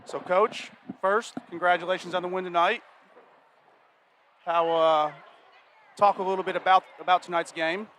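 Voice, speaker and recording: Laid back commentator guy, kinda high voice, lots of uhs, faint court noise. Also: steady tone, moderate pace, pauses often, a bit bright, rough recording. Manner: easygoing, filler-heavy delivery. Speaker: color commentator and interviewer. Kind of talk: casual sports commentary.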